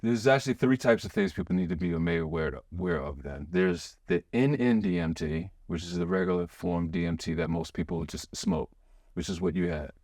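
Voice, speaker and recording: A man hosting a podcast in an excitable, rambling way, full of restarts and fillers. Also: low-pitched, a little fast, dry recording, clean studio sound. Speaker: podcast host. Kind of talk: casual chat.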